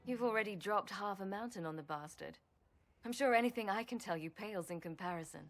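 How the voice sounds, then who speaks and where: Woman acting veteran game heroine, mid pitch, dry n understated, plain-spoken. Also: fairly quick, soft background music, natural voice. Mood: dry, teasing. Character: seasoned heroine, dryly humorous and plain-spoken. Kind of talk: video game cutscene acting.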